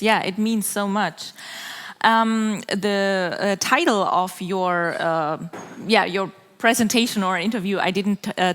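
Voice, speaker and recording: A woman asks her questions hesitantly, pausing and searching for the right words. Her voice carries a long, lingering reverb, as if recorded in a large hall. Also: mid-range voice, a bit bright. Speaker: interviewer. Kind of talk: interview questions.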